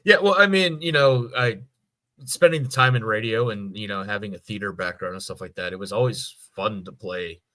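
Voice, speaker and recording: A middle-aged man with a fairly low, bright voice chats casually as a guest voice actor. He tells his stories in an animated, conversational way, recorded dry and close. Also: brisk, no echo.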